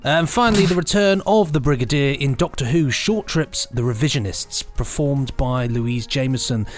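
A man with a medium-pitched voice co-hosts a news podcast. He is casual and jokey as he runs through releases, with music clearly audible behind him. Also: moderate pace. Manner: joking. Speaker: podcast co-host.

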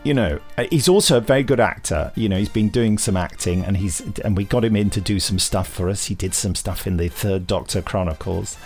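A man's voice: on the low side, brisk, hardly any pauses, a little mellow, faint music behind. A British man hosting a podcast, chatting casually about news in a hesitant, rambling way. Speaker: podcast host. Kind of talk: casual chat about audio drama news.

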